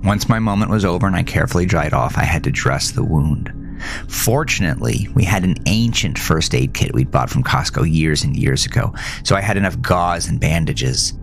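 A man narrating a first-person horror story for a podcast, with dramatic pauses and whispery emphasis. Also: low voice, expressive, gravelly, over music.

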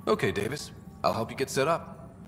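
A direct, curious young explorer in a space game, lively in pitch and gravelly. His voice sounds set back from the mic, with a long reverb around it. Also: brisk, soft background music. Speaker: player character.

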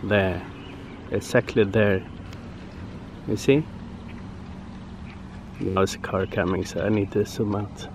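A man in his forties, a native Swedish speaker, chatting casually to his handheld camera in a halting way with many fillers. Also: on the low side, fairly even intonation, noisy background. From Sweden. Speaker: fishing vlogger. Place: outdoors on a grassy field by woods, later in a car.

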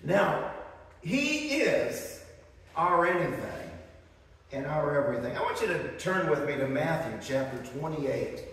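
A man's voice: a little high, sing-song, a little slow, gravelly, fairly bright tone. A middle-aged man from the northern United States preaching a fervent sermon, with rising emphasis and storytelling asides. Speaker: pastor. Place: church sanctuary, indoors. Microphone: far camera, speaking at a lectern. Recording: echoey hall recorded from far off.

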